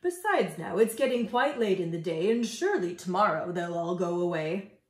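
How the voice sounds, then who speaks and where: A woman voicing a gentle, big-hearted male moose in a storybook, mild and too polite to refuse. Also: fairly low pitch, expressive, moderate pace, reverberant, pitched below the performer's own voice, close to an everyday voice. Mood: resigned. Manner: mild, accommodating.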